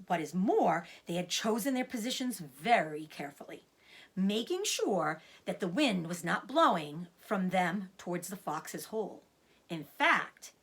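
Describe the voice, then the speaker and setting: Animated woman reading a storybook aloud. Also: mid-range voice, slightly rough. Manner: dramatic, suspenseful. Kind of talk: expressive children's storybook narration.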